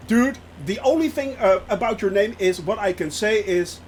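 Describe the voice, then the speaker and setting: A man from the Netherlands with a Dutch accent, chatting casually and excitedly as a streamer while he flies a simulator, laughing often. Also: high voice, normal speed, a little hiss or hum.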